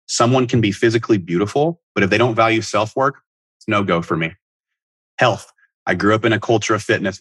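A man's voice: mid-range voice, fairly bright tone, no room sound. A man in his thirties giving a scripted motivational monologue as a podcast host and life coach, speaking in an earnest, emphatic, instructive way.

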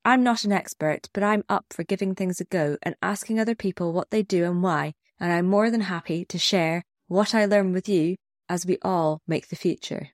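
A woman's voice: on the low side, normal speed, no echo, studio quality. A woman with a cold, sounding a bit snuffly, hosting her own podcast and reflecting candidly in a scripted solo talk. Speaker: podcast host.

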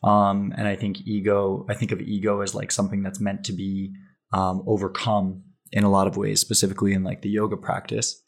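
Male podcast host, low and gravelly, relaxed, rambling delivery. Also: a little fast. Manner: relaxed, rambling, many fillers. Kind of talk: interview conversation.

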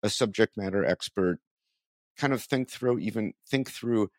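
A man's voice: fairly low pitch, moderate pace, no room sound, studio quality. A man hosting a podcast, interviewing in a casual, exploratory way and rephrasing his questions as he goes.

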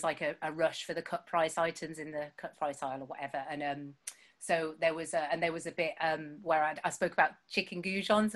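A woman's voice: deep voice, a bit bright. A woman, chatting casually about writing her songs, with lots of fillers and self-deprecating humor. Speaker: songwriter guest. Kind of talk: casual chat. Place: home.

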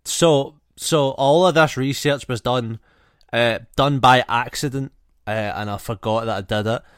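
A man co-hosts a podcast in a mid-pitched, very clear voice. He rambles and jokes casually, recorded close in a dry space. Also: measured pace, dry recording. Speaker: podcast co-host. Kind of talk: casual banter.

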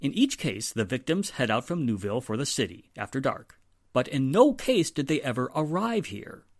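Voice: medium pitch, sing-song, moderate pace, no room sound. An actor playing a weary, sardonic male police inspector in a 1940s-style radio drama, speaking emphatically with dry exasperation. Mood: dry, firm.

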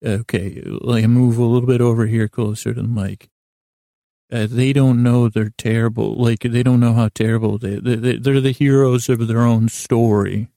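Gravelly, rambling man podcasting at bedtime. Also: mid-range voice, little pitch movement, mellow, dry recording, clean studio sound. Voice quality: gravelly, creaky. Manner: halting, meandering, self-interrupting, stammering delivery; lighthearted, self-deprecating. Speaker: podcast host.

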